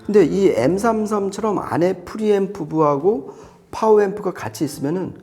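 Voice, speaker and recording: A man's voice: high voice, animated, moderate pace, warm tone, soft background music. A man reviewing audio gear, explaining it conversationally to a co-presenter.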